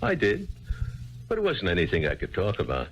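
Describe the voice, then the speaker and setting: A man's voice: on the low side, wildly swooping pitch, brisk, fairly bright tone, soft background music, heavily acted. An actor playing a weary bartender who left his family, in a 1970s radio drama, rambling and searching for words. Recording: dull lo-fi 1970s radio recording.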